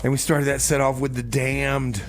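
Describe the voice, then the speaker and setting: A man's voice: medium pitch, flat delivery, moderate pace, background noise. A man hosting a community radio music show with casual, loose, informal DJ patter.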